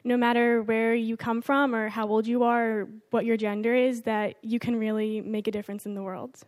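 A woman's voice: on the high side, flat delivery, moderate pace, a little mellow. Earnest teen girl from New Jersey. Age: teens. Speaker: high school student.

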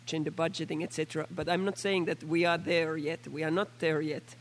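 A Finnish-accented woman, hoarse from a flu, giving a halting formal statement as a member of parliament at a panel meeting. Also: low voice, level tone, slight background noise. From Finland. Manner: halting, with many fillers and restarts.

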